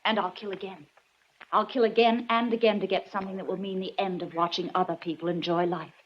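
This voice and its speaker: A woman's voice: mid-range voice, fairly even intonation, quick pace, close to an everyday voice. An actress playing a desperate, bitter woman killer making demands at gunpoint in 1940s radio drama style. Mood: cold menace. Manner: menacing, demanding, overwrought. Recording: muffled, noisy 1940s radio recording with clicks.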